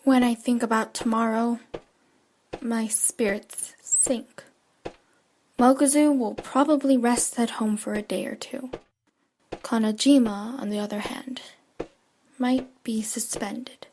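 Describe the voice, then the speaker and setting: A woman's voice: on the high side, steady tone, slow pace, pauses often, a little mellow, a little hiss or hum. A woman playing a troubled, pensive schoolgirl narrator in an audio drama. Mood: subdued, brooding. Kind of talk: audio drama acting with inner monologue.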